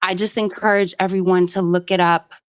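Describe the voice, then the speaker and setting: A woman from Jamaica phones in to a live stream and speaks at length. She is earnest and rambles, filling her pauses with "um." Her voice sits a little low. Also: level tone, clean tone. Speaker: caller. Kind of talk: casual call-in commentary.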